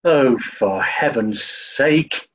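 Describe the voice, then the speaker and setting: A man's voice: medium pitch, lively intonation, very slow. A man playing a dim, grumpy upper-class sleuth, theatrically exasperated, in a radio play. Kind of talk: 1940s-style radio play acting. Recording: muffled, dull online audio.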